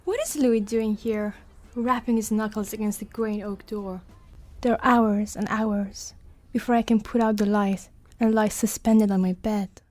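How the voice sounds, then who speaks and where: A woman playing a dreamy, fragile schoolgirl given to grand fantasies, speaking in a measured, intense way in a poetic audio drama. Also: mid-range voice, steady tone, a little mellow, natural voice.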